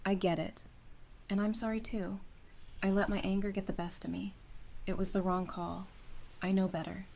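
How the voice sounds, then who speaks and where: A woman's voice: mid-range voice, little pitch movement, normal speed, gravelly, a little mellow, slight background noise, lightly acted. Actress as a strong-willed woman survivor. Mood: tender. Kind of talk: audio drama acting.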